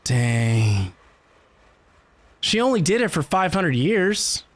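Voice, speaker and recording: Low-voiced, animated woman reacting to a game. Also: takes it slowly. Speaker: reaction streamer. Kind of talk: casual game reaction commentary.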